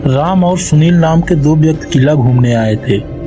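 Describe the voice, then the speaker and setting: A man narrating a children's cartoon story in a steady storytelling manner. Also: fairly high pitch, moderate pace. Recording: music playing underneath.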